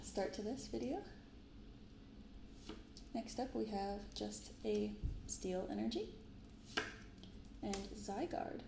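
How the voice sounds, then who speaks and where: A woman speaking softly in an ASMR style, giving gentle, unhurried casual commentary as host of a card-opening video. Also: on the high side, vocal fry, reverberant, noisy background. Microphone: binaural microphone.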